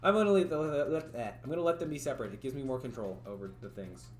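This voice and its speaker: A man streaming live, thinking aloud as he codes and chats with viewers, his voice a little raspy from talking for hours. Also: mid-range voice, racing. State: voice getting raspy from long talking. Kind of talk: casual livestream coding commentary. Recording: roomy echo, faint music.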